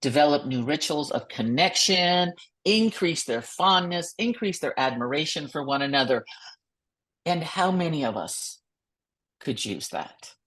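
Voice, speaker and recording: Low-voiced enthusiastic woman podcast host. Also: lively intonation, measured pace, a bit bright. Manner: enthusiastic, effusive, stumbles over words while reading. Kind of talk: upbeat motivational podcast hosting.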